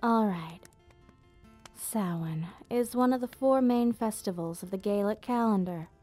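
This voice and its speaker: Woman acting as nostalgic female vampire, ASMR storytelling, soft and mellow, medium pitch, gently formal, faint music behind. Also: lightly acted. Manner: gentle, unhurried explaining, slightly formal wording. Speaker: ASMR roleplay performer. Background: background music.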